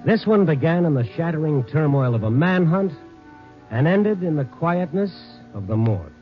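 An actor playing a wry, world-weary newspaper night reporter in a 1950s radio drama, with a sardonic, hard-boiled way of storytelling. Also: male voice, fairly high pitch, animated, normal speed, close to an everyday voice. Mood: grave, measured. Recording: muffled 1950s radio recording, music behind.

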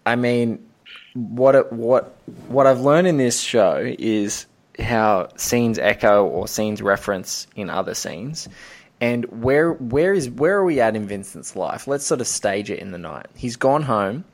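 A man's voice: medium pitch, lively intonation, a little slow. A man hosting a casual film discussion, talking in an enthusiastic, analytical and digressive way. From Australia. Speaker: podcast host.

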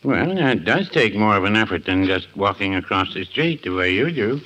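Older store clerk, deadpan, gently needling, lowish pitch, piercing tone, lively inflection, 1950s radio comedy. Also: male voice, moderate pace, slight background noise, poor audio. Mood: dryly teasing. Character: mild, dry older male shopkeeper, gently teasing.

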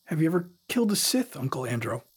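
A man's voice: a little high, sing-song, fast talker, slightly rough, exaggerated voice. A man playing a defiant, eager young nephew hungry for glory, terse and challenging in a sci-fi audio drama. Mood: defiant, curious.

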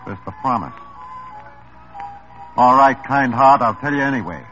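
An evasive mob lawyer who talks in a wary, measured drawl. The vintage broadcast is dull and lo-fi, with music clearly audible behind him. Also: male voice, medium pitch, expressive, bright, forceful tone. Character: smooth, nervous male mob lawyer. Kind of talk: 1950s radio drama acting.

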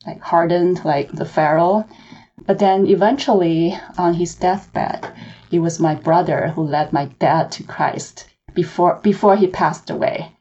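A woman from Hong Kong speaks in a low, mellow voice with a gravelly edge. She answers interview questions reflectively, at an even, thoughtful pace. The remote recording sounds dull and lo-fi. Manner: measured, reflective storytelling. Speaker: author and seminary professor.

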